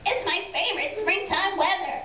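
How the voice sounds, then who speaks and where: A woman voicing a happy little pond animal in a simple, drawn-out way for a children's puppet show. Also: very high-pitched, slight background noise, voice pushed up. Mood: delighted quacking. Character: happy rain-loving pond animal, frog or duck. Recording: echoey, distant, muffled room recording.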